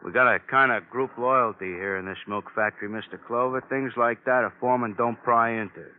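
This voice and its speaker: A man's voice: mid-range voice, fairly even intonation, a little fast, close to an everyday voice. An actor playing a brusque, evasive milk plant foreman in an old-time radio drama, talking in colloquial working-class phrases. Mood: defensive. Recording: noisy, tinny old radio recording.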